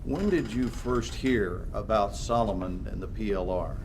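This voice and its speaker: An actor playing a calm, methodical male interrogator in a game cutscene, speaking in a measured, controlled way. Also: fairly low pitch, noisy background, close to an everyday voice. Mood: questioning.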